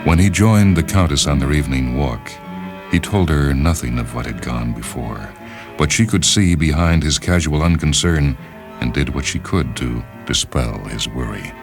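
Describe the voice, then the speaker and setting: A man reading literary fiction as a narrator, in a measured, deliberate and solemn dramatic style. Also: rumbling low. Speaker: narrator, short story reader. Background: background music.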